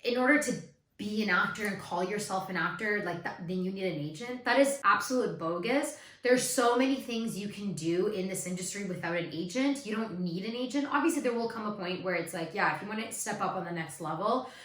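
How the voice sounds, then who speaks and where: Raspy young woman, emphatic, in echoey room. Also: mid-range voice, fairly quick, a bit bright. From Canada. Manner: emphatic, repeats points for stress. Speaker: actor and video host. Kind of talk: advice vlog monologue. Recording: echoey room, faint music.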